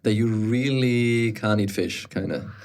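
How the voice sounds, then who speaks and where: Low-voiced Austrian-raised podcast host. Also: male voice, measured pace, darkish tone. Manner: rambling, restarts often, many "like"s. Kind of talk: casual interview chat.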